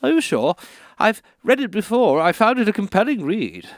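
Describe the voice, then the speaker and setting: A man's voice: sits high, big pitch swings, moderate pace, no room sound, studio quality. A man playing an earnest, morbid author in a radio comedy sketch, solemn when reading and hesitant when questioned. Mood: anxious, hesitant. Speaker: author reading his book on a radio programme.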